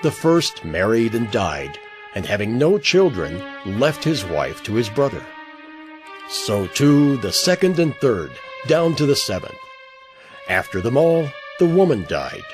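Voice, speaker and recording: An actor playing an argumentative Sadducee in a scripture audio drama, with a theatrical delivery. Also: male voice, on the low side. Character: male Sadducee posing a clever challenge.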